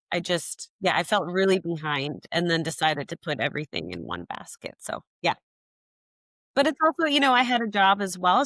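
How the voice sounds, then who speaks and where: This young woman talks things through in a reflective, unhurried way, leaning on "like" and little quoted asides from her own head. Her voice sits a bit low for a woman. The sound is close and dry, with no room around it. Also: a bit bright, no room sound. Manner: thoughtful, frequent "like" fillers, quotes her own thoughts. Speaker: app founder, guest. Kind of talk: reflective interview answers.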